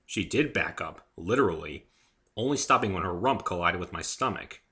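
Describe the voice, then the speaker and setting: A man narrates a first-person story as an amateur dramatic reader. His voice is somewhat low, very bright and forceful, and his pitch moves in a lively way through the scripted sentences. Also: moderate pace.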